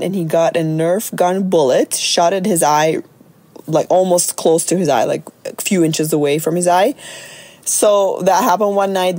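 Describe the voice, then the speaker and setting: A middle-aged woman with a slightly raspy voice, talking casually and chattily as a solo podcast host and coach. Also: on the low side, darkish tone, no echo.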